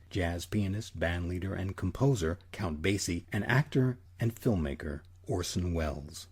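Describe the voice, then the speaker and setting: A man with a husky voice delivering scripted documentary narration as the host. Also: low voice, a little mellow.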